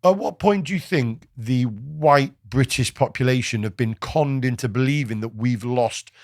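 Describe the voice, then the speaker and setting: A man's voice: mid-range voice, moderate pace, darkish tone, no echo, studio quality. A man from Wales, hosting a casual, opinionated discussion and pressing his points with emphasis. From South Wales. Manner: emphatic, argumentative, speeds up when pressing a point. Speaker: podcast host.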